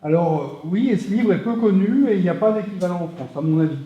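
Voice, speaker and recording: A man's voice: high voice, fast talker, dark tone. A man in the audience asking a long, rambling question from the floor, hesitating with many fillers. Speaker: audience member. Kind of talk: question from the floor at a public discussion. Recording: echoey, dull hall sound.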